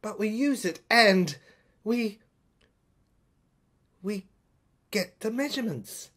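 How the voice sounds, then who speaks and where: A woman's voice: medium pitch, lively intonation, slow pace, a bit bright. A woman voicing an imperious, frustrated Amazon in an emphatic, insistent audio drama delivery. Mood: cold, insistent.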